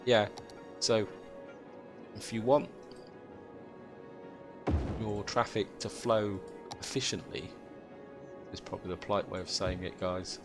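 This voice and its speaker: A British man with a croaky voice from a chest cold, chatting casually as he thinks aloud through a city-building game. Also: on the low side, steady tone, slow, long pauses, music in the background. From Britain. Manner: thinks aloud, chatty, addresses viewers as guys. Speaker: gameplay commentator.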